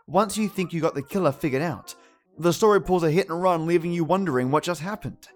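A man's voice: fairly high pitch, brisk, soft background music. A man hosting a podcast, giving chatty, matey commentary to his listeners. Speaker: podcast host.

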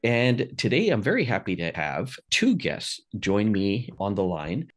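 A man hosting a podcast interview, upbeat and quick to laugh. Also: lowish voice, sing-song. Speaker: podcast host.

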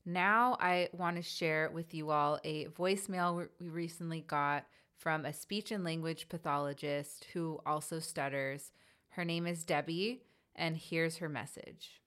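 A woman's voice: sits low, steady tone, unhurried, a bit bright. A woman from San Francisco hosting a podcast, reading upbeat announcements about a stuttering advocacy campaign.

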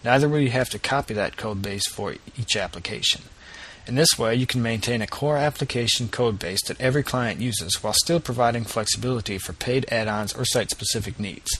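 A man's voice: mid-range voice, fairly quick, a bit bright, breathy edge. A man hosting a podcast, reading a casual news roundup and interviewing a guest in an upbeat way. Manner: casual and upbeat, with easy laughs. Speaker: podcast host.

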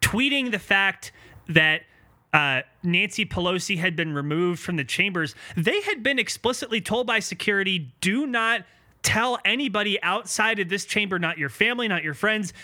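A man with a high, very bright and forceful voice co-hosts a podcast. He gives casual political commentary, speaking emphatically and with firm opinions. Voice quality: high-pitched voice. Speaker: podcast co-host.

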